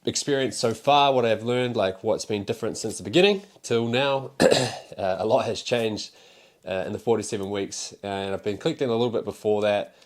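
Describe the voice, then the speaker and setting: A man's voice: on the low side, fairly quick. A young man with a New Zealand accent, talking casually to camera about selling cards, rambling and candid with fillers and the odd swear. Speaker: card seller and content creator.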